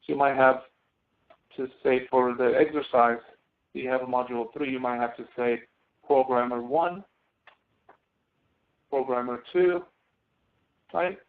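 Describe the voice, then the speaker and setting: A male instructor heard through a thin, phone-like connection. He talks through an on-screen software demonstration in a halting, step-by-step way, as in a live online lecture. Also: medium pitch, steady tone. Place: online screen-share session.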